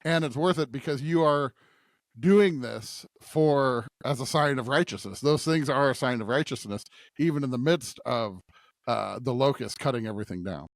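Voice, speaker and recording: Middle aged guy podcast host chatting about bible stuff, mid pitch, thinks out loud, stop and start, dry sound. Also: no room sound. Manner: halting, reflective, often seeking agreement. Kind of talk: conversational scripture discussion.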